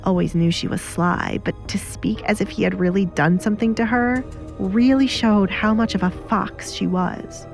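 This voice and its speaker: A woman's voice: mid-range voice, moderate pace, vocal fry, faint music behind. Woman narrating a dramatic audio story.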